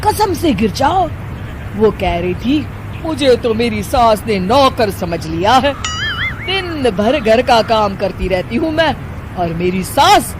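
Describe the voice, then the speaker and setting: Woman playing a jealous, meddling older aunt, animated voice. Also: fairly high pitch. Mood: sly, goading. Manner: scheming, scornful, drawn-out complaining. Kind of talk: Hindi animated moral story acting. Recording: clear background noise, outdoor street ambience.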